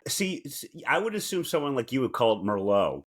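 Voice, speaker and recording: A man co-hosting a podcast in casual banter, wry and teasing, often halting and restarting his sentences. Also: mid-range voice, sing-song, husky. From born in New Jersey, US. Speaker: podcast co-host.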